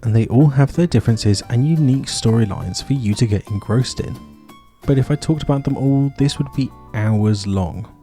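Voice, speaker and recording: A man's voice: mid-range voice, normal speed, dark tone, soft background music. A man hosting and narrating a scripted video essay.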